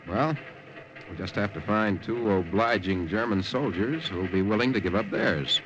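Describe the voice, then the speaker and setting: An actor playing a wary, wisecracking American soldier undercover in a 1950s radio drama. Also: male voice, fairly low pitch, normal speed, lo-fi sound, natural voice. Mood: wry, easygoing. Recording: noisy vintage 1950 radio recording.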